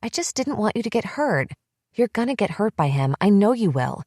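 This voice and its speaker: A woman's voice: medium pitch, sing-song, normal speed, darkish tone, no room sound, clean studio sound, pitched above the performer's own voice. A woman voicing an anxious young woman who pleads tearfully in an audiobook. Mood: pleading.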